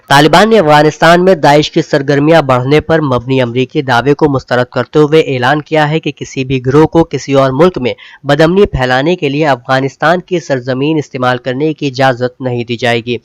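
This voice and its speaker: Male news reader, mid-pitched, formal measured bulletin delivery, close dry recording. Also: no room sound. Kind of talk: scripted Urdu news bulletin narration.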